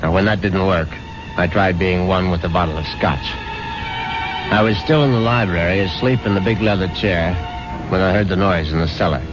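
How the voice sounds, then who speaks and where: A man acts out a jittery, guilt-ridden husband in a vintage radio drama, with a low voice. He stammers dramatically, as if full of dread. The sound is dull and dated, with loud music behind him. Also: moderate pace, natural voice. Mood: wary, haunted. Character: nervous, guilt-ridden, superstitious widowed husband. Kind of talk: 1970s radio drama acting, first-person narration and dialogue.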